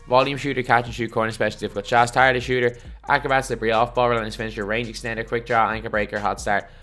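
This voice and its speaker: A young man, hoarse and losing his voice, chatting loosely through his own video game play as a YouTuber, rambling and repeating himself. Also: medium pitch, quick pace, faint music behind. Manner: rambling, energetic, repeats "so anyway". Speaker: gaming YouTuber. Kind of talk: casual video game commentary.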